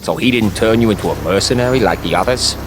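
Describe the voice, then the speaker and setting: A man's voice: on the low side, quick pace, background noise, natural voice. An actor playing a cocky, sarcastic mercenary swordsman in a video game, crude and smug in a glib delivery. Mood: wry. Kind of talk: video game cutscene voice acting.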